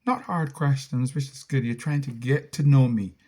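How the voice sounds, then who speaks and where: A man's voice: mid-range voice, normal speed, dark tone. A middle-aged man with a Barbadian accent, a content creator casually answering viewer questions in a rambling, self-correcting way. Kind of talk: casual Q&A monologue.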